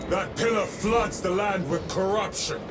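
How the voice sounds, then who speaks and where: An actor playing a grim, indignant space soldier with clipped, severe speech in a dramatic video game. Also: male voice, sits high, unhurried. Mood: angry, grim. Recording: distant, long reverb, music behind.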